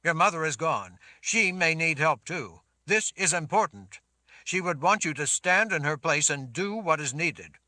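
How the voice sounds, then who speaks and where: A man's voice: fairly high pitch, animated, measured pace, pushed and bright, no echo, clean studio sound, voice pushed up, full character voice. A man voicing a protective lord who speaks in a firm, insistent voice.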